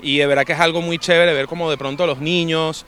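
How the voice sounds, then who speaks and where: A man's voice: a little high, fairly even intonation, fast, a bit bright, clear, resonant tone. A young man with a Venezuelan accent giving a colloquial, reflective interview answer as a volunteer musician. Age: young adult.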